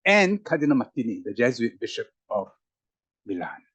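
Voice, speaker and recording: A man's voice: mid-range voice, very animated, normal speed, fairly bright tone, breathy edge, no echo. A man speaking as a roundtable panelist, musing through rhetorical questions with emphatic rises in his voice. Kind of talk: animated reflective public talk.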